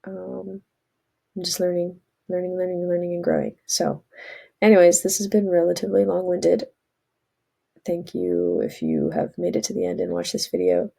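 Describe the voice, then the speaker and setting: A woman's voice: on the low side, expressive, normal speed, creaky, mellow, husky. A woman, congested from a cold, rambling earnestly in a casual spiritual vlog monologue. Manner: rambling, earnest, self-correcting. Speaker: vlogger.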